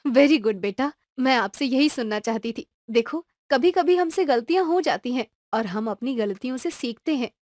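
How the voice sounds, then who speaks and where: A caring, firm cartoon mother, voiced by a woman. Her voice is high and sounds dry and close, and she explains things patiently and warmly to her child. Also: lively intonation, normal speed, few pauses, no room sound. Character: caring young mother, firm but loving.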